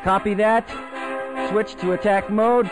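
An actor playing a businesslike rebel starfighter pilot, making brisk, clipped calls in a game cutscene. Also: male voice, sits high, fairly even intonation, loud music behind the voice. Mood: alert. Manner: brisk, clipped radio-call delivery. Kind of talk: video game cutscene acting.

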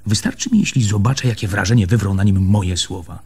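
A man's voice: on the low side, fairly quick, darkish tone, slightly rough. An actor playing a stubborn, earnest land surveyor in a radio play, hesitating and repeating words as he presses his point. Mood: stubborn, determined. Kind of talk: Polish radio play acting.

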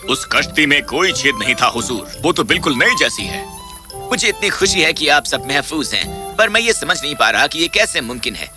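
Humble honest labourer: slightly high, lively cartoon delivery over music. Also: male voice. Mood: puzzled. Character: humble, honest working man, polite and earnest. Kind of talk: animated children's fairy tale dubbing.

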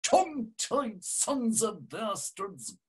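Actor for a husky, raging Irish pub patriot; slow and sweeping delivery. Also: male voice, high voice, big pitch swings, fairly bright tone, heavily acted. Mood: ranting. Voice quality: husky, bellowing rants. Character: fiery nationalist old Dublin drinker, ranting. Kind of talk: dramatic reading over video call. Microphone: video call webcam.

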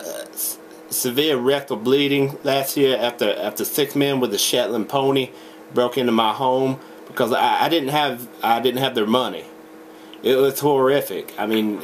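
A young man making a deadpan prank phone call, halting, stammering and rambling as he talks in a home room. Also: mid-range voice. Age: young adult. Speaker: prank caller. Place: small indoor home room. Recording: noisy webcam recording.

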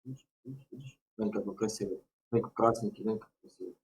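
A man from the Luhansk region with a Ukrainian-accented Russian soft G, arguing defensively and evasively on a video call. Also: on the low side, quick pace, husky, rough recording. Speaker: chat roulette guest. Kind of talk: casual argument.

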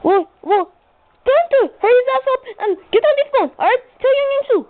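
An angry foreign prank caller badgers in broken English. The voice is extremely high and swings around a lot, insistent and combative, on a thin, tinny recording. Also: lively intonation, fairly quick. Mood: angry demanding. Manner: insistent, belligerent, repetitive. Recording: tinny lo-fi handheld camera, some noise.

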